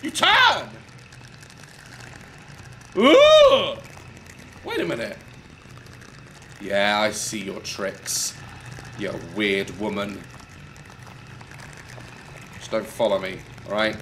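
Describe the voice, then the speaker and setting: A man's voice: on the low side, wildly swooping pitch, very slow, pauses often, a little hiss or hum. A man in his thirties commenting jokily on a horror game, yelping at scares, on a headset mic. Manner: jokey, yelps and shouts at scares. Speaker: gaming youtuber.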